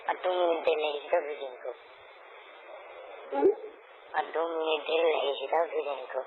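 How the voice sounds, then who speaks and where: A man's voice: on the high side, fairly even intonation, normal speed. A man chatting casually and intimately on a phone call, often repeating his own phrases. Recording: noisy, narrow phone call recording.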